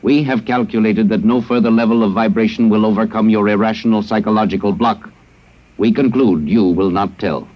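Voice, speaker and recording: A man playing a cold, logical male alien captor in a 1950s radio drama, speaking in flat, clipped, mechanical sentences, heard on a muffled vintage broadcast recording. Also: fairly low pitch, fairly quick, close to an everyday voice.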